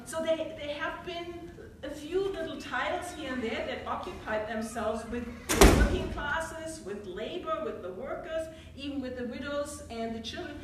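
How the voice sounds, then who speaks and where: A German-accented woman gives an earnest scholarly talk, thinking aloud and correcting herself as she goes. Her voice sits a little high for a woman. She is picked up by a distant mic in a strongly echoing university room. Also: background noise. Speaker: academic panelist, biblical scholar. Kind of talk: academic roundtable talk. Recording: very echoey room from far off.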